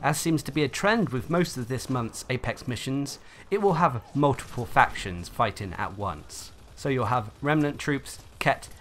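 A man's voice: mid-range voice, a bit bright. Man narrating a gaming update, noisy background. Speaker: gaming channel host. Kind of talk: scripted informational video narration.

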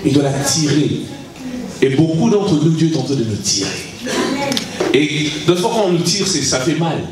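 A man in his thirties from Congo, preaching in halting French with many restarts, holding a handheld mic in a large church hall. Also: fairly high pitch, expressive, over a noisy crowd. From Congo (Kinshasa). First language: English is his stronger language. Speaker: guest preacher. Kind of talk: sermon to young people. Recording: echoey hall, mic heard from a distance.

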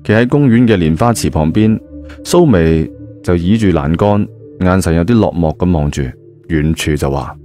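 A man with a Cantonese accent narrating an audio drama in a lively, colloquial storytelling style. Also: low voice, normal speed. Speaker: audio drama narrator, also voicing the male lead. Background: background music.

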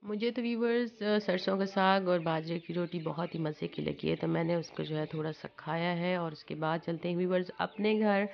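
A young Pakistani woman vlogs outdoors with a slightly hoarse, flat-toned voice. She keeps turning to her viewers in a chatty way as she shows her village. The phone audio is dull and lo-fi, with birds and wind clearly behind her. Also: fairly low pitch, moderate pace, few pauses. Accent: Punjab. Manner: chatty, addresses viewers often. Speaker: village vlogger. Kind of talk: casual vlog walkthrough. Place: outdoors in fields and a village courtyard. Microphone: phone camera held nearby.

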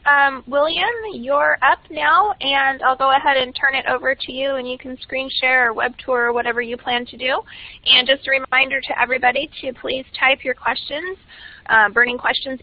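A woman moderating a webinar, handing over to the next speaker with brisk, friendly directions. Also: high voice, dry recording. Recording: slightly muffled dry webinar audio.

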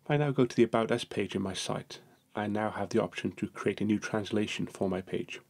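A man narrating a software screencast tutorial, explaining each step in a steady manner. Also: on the low side, fairly quick, gravelly, slightly rough.

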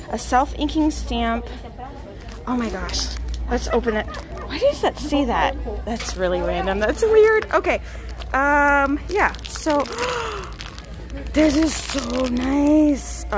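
A woman in her forties filming a shopping vlog on a handheld phone, gushing and exclamatory as she shows her finds. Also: sits high. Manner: gushing, exclamatory, quick bursts of excitement. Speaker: shopping vlogger. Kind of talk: excited shopping haul vlog. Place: parked car, then indoor retail store. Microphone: handheld phone camera.

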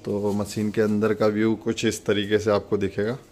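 A man's voice: on the low side, monotone, moderate pace, a little mellow, soft background music. A man narrating a casual, step-by-step product demonstration in an instructional way, pointing things out as he goes. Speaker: product demonstrator and channel host.

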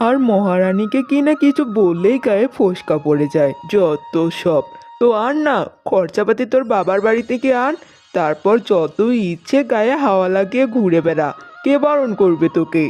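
A woman voicing a tyrannical, sharp-tongued older village mother-in-law in a cartoon. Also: mid-range voice, animated, normal speed, natural voice. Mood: scolding. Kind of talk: cartoon story voice acting. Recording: music bed under the voice.

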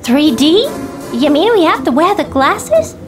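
An actress playing a playful, tipsy 1950s housewife in a retro radio drama, teasing and drawling. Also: female voice, sits high, big pitch swings, measured pace, over music. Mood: eager, reluctant.

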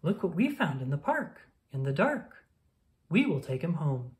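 A woman reading a rhyming children's picture book aloud in a playful, sing-song, expressive manner. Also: low voice, moderate pace.

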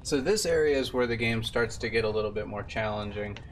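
A man's voice: fairly low pitch, a little fast. A young man giving casual gameplay commentary as he streams from a room at home. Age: young adult. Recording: distant voice under loud game sound. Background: loud video game sounds.

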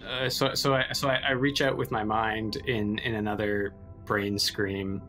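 A man improvising as a player in a tabletop game, halting and casual as he describes his actions. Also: fairly low pitch, level tone, sharp tone, soft background music.

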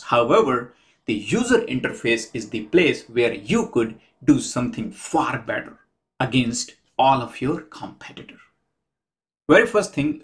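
A man in his fifties, the host, talking to camera from a small room at home in a measured, methodical way, counting his points off one by one. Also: mid-range voice. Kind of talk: explanatory talk to camera.

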